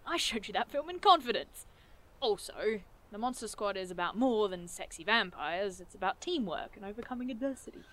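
A woman's voice: medium pitch, expressive, brisk, a bit bright, lightly acted. A woman playing a sardonic, teasing monster hunter in an audio drama, casual and sarcastic in delivery. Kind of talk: audio drama acting, naturalistic dialogue.

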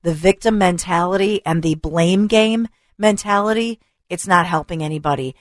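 A talk radio host, a woman, delivering an opinionated rant full of rhetorical questions and repetition, her voice going hoarse. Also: on the low side, normal speed, dry recording, clean studio sound. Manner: emphatic, rhetorical questions, repeats for stress. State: losing her voice. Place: radio studio. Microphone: studio microphone.

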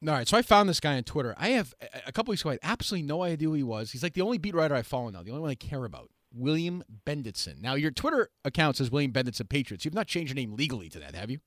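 Fast, animated, teasing male host. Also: mid-range voice, dry recording, clean studio sound. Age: 40s. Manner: animated, teasing, rambling questions. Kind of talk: casual interview chat.

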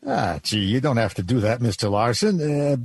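A man's voice: medium pitch, sing-song, moderate pace, husky. An actor playing an obliging, bashful department-store doorman in a radio drama, folksy and polite. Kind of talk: old-time radio drama acting.

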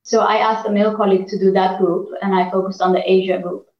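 An earnest woman from Iran talks through her experience as a negotiation trainer. She speaks at a middle pitch and often restarts her sentences. The sound is roomy and echoey, with a dull, lo-fi tone. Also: little pitch movement, fast. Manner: earnest, many fillers and restarts. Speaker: negotiation trainer and executive coach. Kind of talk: reflective interview answers.

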